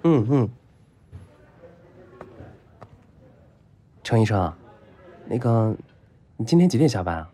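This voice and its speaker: A man's voice: fairly low pitch, big pitch swings, moderate pace, mellow. A man acting in an audio drama as a flustered, smitten young patient, stammering and whining his way through his lines. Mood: shy, meek. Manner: stammering, whiny, exclamatory. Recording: music behind.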